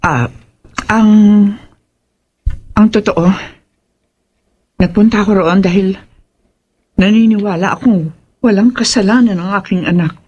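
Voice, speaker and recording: Husky, nervous protective mother played by a woman. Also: mid-range voice, a little slow, pauses often, a little mellow, dull audio. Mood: nervous, evasive. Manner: hesitant, stammering, evasive. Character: nervous, evasive mother protecting her accused son. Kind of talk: Filipino audio horror drama acting.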